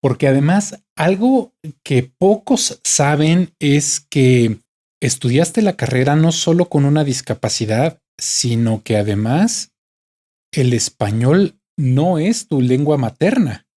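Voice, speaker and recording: A man with a Mexican accent hosting a legal podcast, asking questions in a friendly, measured way. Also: fairly high pitch, lively intonation, mellow. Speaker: podcast host. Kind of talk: interview questions, casual.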